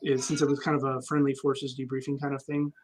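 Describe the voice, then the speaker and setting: A man's voice: mid-range voice, level tone, quick pace, a little mellow, husky, poor audio. A man, an army veteran guest, telling stories candidly and reflectively in a casual interview, with frequent ums.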